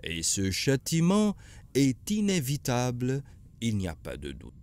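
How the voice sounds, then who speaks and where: Man voicing a slow, grave storytelling grandfather. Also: mid-range voice, huge pitch swings, darkish tone. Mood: grave, patient. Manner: solemn, patient storytelling. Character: kindly, grave grandfather telling prophet stories. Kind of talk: animated religious story narration.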